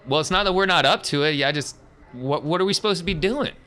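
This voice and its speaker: A man playing an uneasy, grumbling hired thug who complains haltingly in a tabletop game. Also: fairly high pitch, sharp tone, pitched above the performer's own voice. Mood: wary. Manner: halting, plain-spoken complaining.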